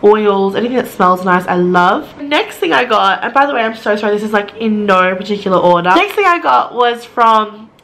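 Sick, slightly raspy young woman. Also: normal speed, few pauses. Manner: chatty and bubbly, rising into high excited quotes. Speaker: beauty vlogger. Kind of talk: casual haul video. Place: bedroom, indoors. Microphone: camera close up, facing viewer.

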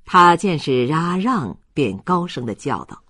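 A man's voice: fairly high pitch, big pitch swings, a little slow, sharp tone, breathy edge, no room sound, studio quality. A man narrating a literary audiobook in a dramatic storytelling style.

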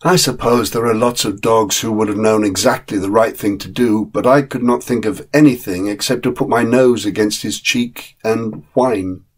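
A man voicing a loyal, plain-spoken dog who narrates a storybook tale in a measured, wistful, husky way. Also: lowish voice, fairly even intonation, close to an everyday voice. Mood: wistful, earnest. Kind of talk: dramatic short story reading.

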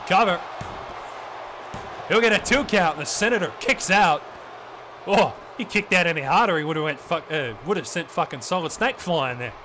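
A man doing excited, profane play-by-play commentary, often throwing in "mate". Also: fairly high pitch, a little fast. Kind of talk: wrestling commentary on a video game match. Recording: noisy background.

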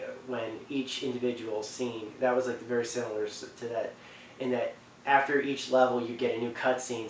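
A young man talks off the cuff in a roomy space, some distance from the mic. His delivery is casual and halting, like a host presenting a list from his couch. There is a faint noise in the recording. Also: medium pitch. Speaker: video host presenting a ranking. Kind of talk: casual unscripted talk to camera. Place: small indoor living room on a couch.

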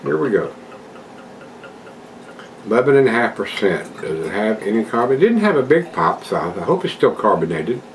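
A man's voice: mid-range voice, big pitch swings, moderate pace, gravelly, long pauses, a little hiss or hum, low-quality recording. An older man at home casually reviewing a beer, chatting to his viewers in a folksy way. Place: home room with a desk and plants, indoors.

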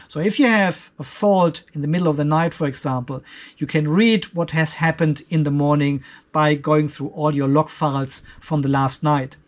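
A man with a distinctly high voice and as his walks through a technical comparison. He sounds like a video host in his fifties, explaining details step by step and giving his own opinions. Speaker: video host, off-grid tech reviewer. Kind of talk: explanatory tech review over a spreadsheet.

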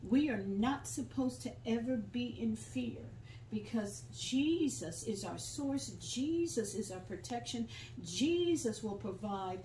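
A woman's voice: fairly high pitch, lively intonation, slow, noisy background. An older woman preaching a fervent sermon at a pulpit in a small church, quoting scripture and breaking into song. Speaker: guest preacher. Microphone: phone camera from the pews, far off. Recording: echoey room, far from the phone mic.

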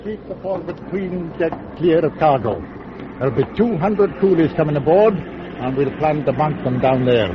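Male actor for stolid, literal-minded merchant captain in a vintage radio play; placid, matter-of-fact, with widely moving pitch, muffled old sound. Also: expressive, close to an everyday voice. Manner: deliberate, obtuse, musing, matter-of-fact. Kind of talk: 1940s radio drama acting. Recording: dull, noisy 1940s radio recording.

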